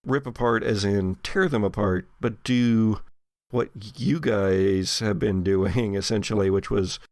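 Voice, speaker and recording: A man with a low, creaky voice, recorded close and dry, runs a tabletop horror game. He narrates scenes descriptively, pausing and feeling his way through the story as game master. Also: darkish tone, no echo. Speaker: game master (the Keeper) of an actual play podcast.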